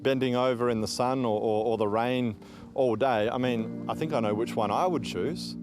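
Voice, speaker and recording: A man's voice: mid-range voice, moderate pace, over music. An Australian man, a farmer, speaking frankly and plainly in a news interview, with restarts.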